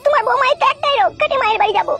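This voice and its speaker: A woman's voice: extremely high pitch, lively intonation, racing, vocal fry, loud music behind the voice, exaggerated voice. A woman voicing a stubborn, complaining village woman in a comic cartoon, arguing defiantly. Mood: indignant.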